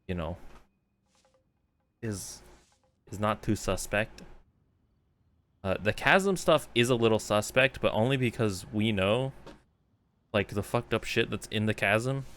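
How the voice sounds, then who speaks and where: Young guy streaming a game, kinda low voice, slangy, sweary, faint music behind him. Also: a bit bright. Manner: slangy, profane, musing aloud. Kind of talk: casual livestream commentary, reading game text aloud.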